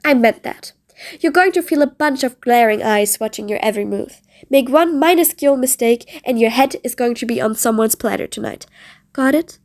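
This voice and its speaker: A woman's voice: a little high, moderate pace, darkish tone, natural voice. A woman playing a mean but patient supervillain in an audio roleplay, bossy and sardonic, with threats that carry grudging fondness. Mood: stern warning. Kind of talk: scripted audio roleplay addressed to the listener.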